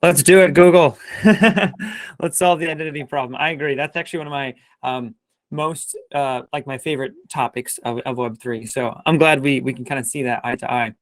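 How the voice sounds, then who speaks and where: A man's voice: medium pitch, steady tone, brisk, no echo. A man hosting a casual interview in an informal, enthusiastic way. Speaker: interview host.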